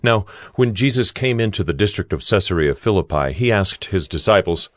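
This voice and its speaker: A man narrating scripture from a script in a measured, solemn storytelling manner. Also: deep voice, animated, no room sound, faint music behind.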